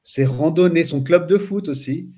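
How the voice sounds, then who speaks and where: A man in his forties from France, a teacher telling his story as a guest in a relaxed interview, pausing often with fillers. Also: fairly high pitch. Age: 40s. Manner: hesitant, frequent pauses and fillers. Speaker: guest, Islamic studies teacher. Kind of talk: casual interview chat.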